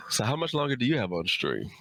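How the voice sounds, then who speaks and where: A man's voice: mid-range voice, pushed and bright, dry recording. A man speaking as an author guesting on a live stream, chatting casually and candidly, with emphatic repetition. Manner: candid, emphatic, repeats himself. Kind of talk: casual chat.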